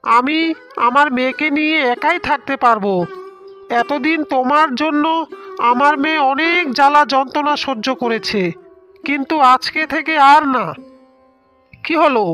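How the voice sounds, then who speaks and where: Woman voicing cartoon village dad, high voice, aggrieved n protective husband, music behind. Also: moderate pace, long pauses, pushed and bright. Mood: indignant, firm. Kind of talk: cartoon fairy-tale dialogue. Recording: music under the voice.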